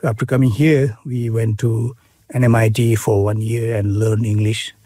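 A middle-aged man with a Bhutanese accent, speaking in an interview with many pauses, fillers and restarts. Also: fairly low pitch, level tone, mellow, no echo, clean studio sound. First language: Nepali. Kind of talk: casual interview.

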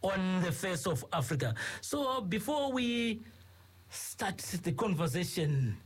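A man's voice: sits high, big pitch swings, slow pace, breathy edge. A man hosting a radio interview, speaking formally and courteously, with many fillers and repeated phrases. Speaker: radio host. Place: radio studio.

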